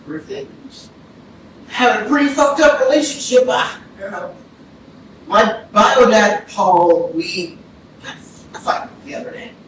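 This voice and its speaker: An actor playing an evasive, shaken young man under police questioning in a staged reading, halting and defensive. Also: sits high, animated, fairly bright tone. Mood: hurt, evasive. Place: indoor arts centre stage, staged reading. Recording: echoey theatre from far off, noisy.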